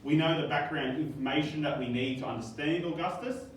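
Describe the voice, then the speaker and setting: Aussie man teaching, slightly high voice, upbeat and jokey, echoey classroom from across the room. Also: a little fast, far from the mic, slight background noise. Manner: upbeat, jokey, quizzing students. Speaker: history teacher.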